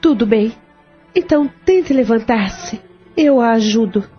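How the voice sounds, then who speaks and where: A woman's voice: fairly high pitch, animated, measured pace. A woman playing a caring, practical sister-in-law. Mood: gentle. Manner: gentle, concerned. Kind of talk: radio drama acting. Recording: dull lo-fi audio with faint music.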